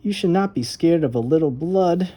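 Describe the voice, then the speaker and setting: A woman voicing a sensible, mildly scolding babysitter in a children's storybook, speaking in a measured, firm way. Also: medium pitch, animated, warm tone, slightly rough, voice pushed up. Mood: reassuring.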